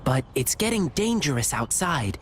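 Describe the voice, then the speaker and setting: Eager, swooping young lion dancer. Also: male voice, fairly high pitch, big pitch swings, moderate pace, fairly bright tone, a little hiss or hum. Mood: reassuring, friendly. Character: eager, kind-hearted, plucky lion dancer boy. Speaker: lion dance troupe performer. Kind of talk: video game character dialogue.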